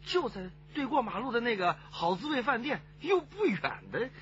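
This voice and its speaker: A man's voice: very high voice, big pitch swings, normal speed, highs cut off, a little hiss or hum. An actor playing a slick, ingratiating small-time crook in a radio crime drama, bustling and repeating his words. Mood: coaxing.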